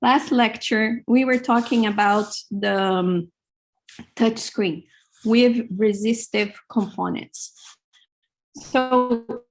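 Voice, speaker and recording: A middle-aged woman with a Hebrew accent giving a university lecture in a large hall, halting with many fillers. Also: mid-range voice. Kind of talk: classroom lecture.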